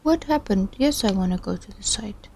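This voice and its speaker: A woman's voice: medium pitch, expressive, normal speed, vocal fry, darkish tone, slight background noise. A woman from Iraq hosting a study livestream, thinking aloud and chatting with viewers in a musing, self-deprecating way. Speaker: livestream host studying along. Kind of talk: casual thinking aloud while following a lecture.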